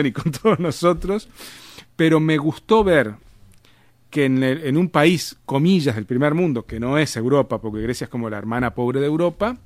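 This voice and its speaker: A man's voice: fairly high pitch, dry recording. A man with an Argentine Spanish accent, telling stories in casual conversation, rambling with frequent restarts and tag questions. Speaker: interviewed author.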